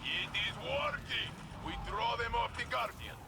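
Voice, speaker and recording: A hearty, proud warrior, extremely high for a male voice and creaky. He speaks emphatically and grandly, in dramatic game style over clear noise. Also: measured pace, full character voice. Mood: triumphant.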